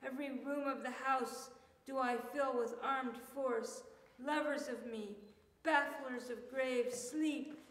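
A woman's voice: high voice, steady tone, slow, fairly bright tone. A middle-aged woman, a poet, reading poetry aloud with dramatic emphasis. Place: church room indoors, group reading. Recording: echoey hall with long reverb.

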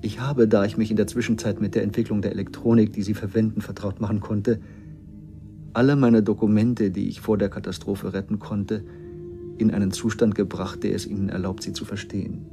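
An actor playing a courteous survivor of a destroyed world, narrating in a measured, formal way in a radio drama. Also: male voice, on the low side, little pitch movement, close to an everyday voice. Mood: measured, grave. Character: courteous male witness from a destroyed planet, explaining. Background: music.

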